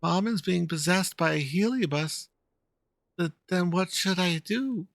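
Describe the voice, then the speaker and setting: A man voicing an earnest, worried young fox-girl in halting, polite speech, reading out video game dialogue. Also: sits high, vocal fry, a bit bright, no echo, clean studio sound, pitched above the performer's own voice, full character voice. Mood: anxious.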